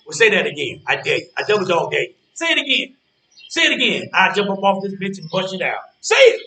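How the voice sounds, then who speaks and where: A man's voice: sits high, sing-song, fast talker, sharp tone, reverberant, far from the mic, exaggerated voice. A man playing a grumpy, defensive character in a stage play, bickering with a rival in a combative, dismissive, colloquial way. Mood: furious, daring.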